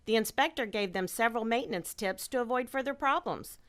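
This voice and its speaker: A woman performing a scripted radio ad, with an earnest sales-pitch delivery. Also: brisk, a bit bright. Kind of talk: scripted ad dialogue.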